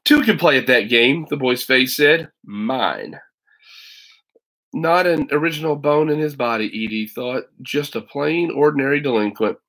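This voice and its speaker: American guy in his 40s, bright sharp voice, teacher doing a homey book read-aloud for kids. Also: medium pitch, animated, a little slow, low-quality recording. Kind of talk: casual chat and storybook reading aloud to children. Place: small room at home, indoors. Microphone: webcam or laptop mic at arm's length.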